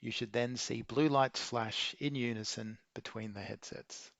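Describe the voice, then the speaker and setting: A man reading a scripted how-to narration in a measured, methodical way. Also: mid-range voice, fairly even intonation, gravelly, a bit bright, no echo, dull audio. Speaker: video maker giving an installation tutorial.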